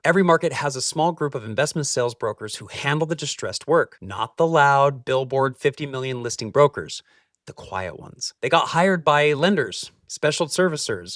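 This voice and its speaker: A man hosting a scripted explainer, speaking straight to the listener in punchy, emphatic short phrases with deliberate pauses. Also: mid-range voice, a bit bright, no room sound, clean studio sound, far from the mic. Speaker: host, capital-raising adviser.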